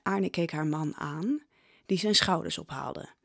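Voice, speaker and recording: A woman's voice: fairly low pitch, a little slow, no echo, clean studio sound. A woman reading scripted storytelling narration for an audio drama.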